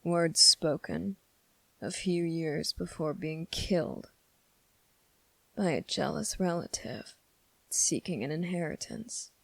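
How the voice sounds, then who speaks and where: A woman voicing a sardonic, condescending demon in an ASMR roleplay, speaking deliberately with drawn-out pauses. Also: low voice, gravelly, no room sound, heavily acted, rough recording. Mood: scornful, dry. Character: sardonic, condescending demon summoned to make a deal. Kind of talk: ASMR roleplay, dramatic monologue to listener.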